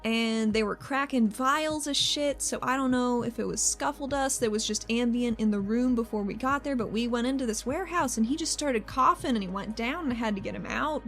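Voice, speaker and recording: A woman chatting casually and improvising as a player in a tabletop game, in an informal manner. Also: sits high. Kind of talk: casual game chat and improvised role-play.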